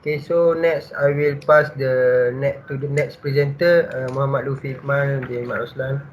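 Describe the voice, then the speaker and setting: A man's voice: mid-range voice, steady tone, moderate pace. A young man with a Malaysian accent giving a scripted student presentation. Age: young adult. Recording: dull lo-fi audio, faint noise.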